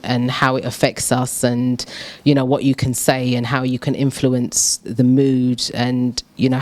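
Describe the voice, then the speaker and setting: A woman's voice: a bass voice, a little mellow, dry recording. A woman artist speaking on a panel, hesitant, searching for words as she talks. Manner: hesitant, many fillers and restarts. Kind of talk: casual panel talk. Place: gallery discussion event.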